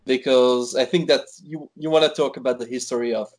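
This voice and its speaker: Swiss-born man, guest in a casual interview, talky, halting with fillers. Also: medium pitch, steady tone, darkish tone. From Switzerland. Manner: chatty, many fillers and restarts. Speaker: wine expert guest. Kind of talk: conversational interview.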